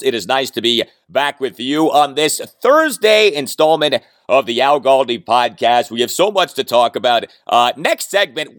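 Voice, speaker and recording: A man hosts his own sports talk podcast, his voice still recovering after losing it. He talks with lively, swooping intonation and punchy emphasis, dotted with "uh" fillers. The sound is close and dry, with no room around it. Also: a little high, dry recording. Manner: animated, emphatic delivery with frequent "uh" fillers. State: recovering from lost voice.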